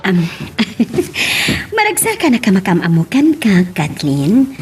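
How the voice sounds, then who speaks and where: A woman acting an animated, teasing older female relative in an Ilocano audio drama. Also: medium pitch, takes it slowly, husky, heavily acted. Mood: amused, welcoming. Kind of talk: radio-style drama acting. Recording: faint background noise.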